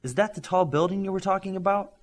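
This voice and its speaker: A man's voice: high voice, fast, pitched above the performer's own voice, natural voice. A man reading a cheerful teasing teen girl.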